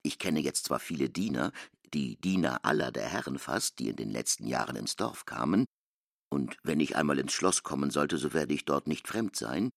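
Solemn male narrator for literary radio drama, extremely low, lively intonation, deliberate delivery. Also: creaky, dry recording, clean studio sound, heavily acted. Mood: solemn recounting.

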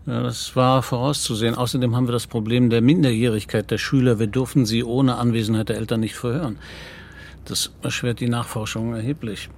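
Man acting as middle-aged police commissioner, radio play, gravelly, husky, polite, measured, composed questioning. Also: mid-range voice, little pitch movement, darkish tone, natural voice. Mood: businesslike.

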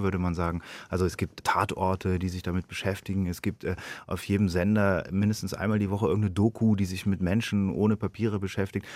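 A man with a fairly low voice talks as a guest in a radio interview. He is thoughtful and explanatory, often breaking off to rephrase. The studio sound is very dry. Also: no echo. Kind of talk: reflective spoken interview.

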